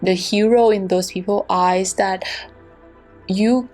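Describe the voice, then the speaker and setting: A woman giving a casual, rambling tarot reading to her viewers, full of fillers and restarts, with a gentle, reassuring manner. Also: medium pitch, steady tone, slow pace, faint music behind. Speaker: tarot reader.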